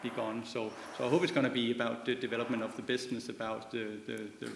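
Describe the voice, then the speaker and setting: A man speaking as a shipping company chief on a conference panel, measured and full of fillers. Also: mid-range voice, level tone, creaky. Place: conference hall. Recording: echoey hall with long reverb, faint room noise.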